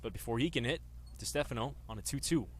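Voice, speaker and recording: Baseball radio announcer, male, twenties. Bright, punchy voice, live play-by-play delivery. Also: medium pitch, fast talker. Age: 20s. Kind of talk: live sports commentary.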